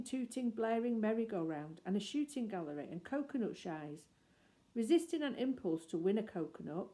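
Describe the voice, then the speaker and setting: A woman reading a children's story aloud as expressive scripted narration. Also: mid-range voice. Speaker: audiobook reader.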